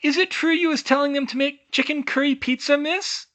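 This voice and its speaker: A reader voicing Sonia, an excitable young female house-elf who squeals and giggles. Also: sits high, brisk, voice pushed up. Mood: excited.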